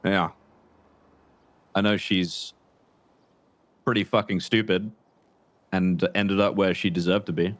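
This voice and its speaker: A low-pitched male crime boss played by a man in a roleplay game, once a trooper and now bitter and sure of himself. He talks in a deliberate, halting way, repeating words, with condescending menace. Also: level tone, no room sound. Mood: contemptuous. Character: menacing, bitter former state trooper turned confident criminal. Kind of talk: improvised crime roleplay phone conversation.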